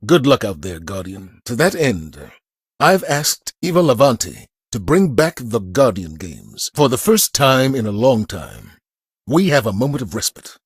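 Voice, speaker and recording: An actor playing a dignified, steadfast military commander in a video game, speaking in a measured, formal, encouraging way. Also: male voice, medium pitch, sing-song, breathy edge, no room sound, clean studio sound. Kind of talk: scripted video game dialogue.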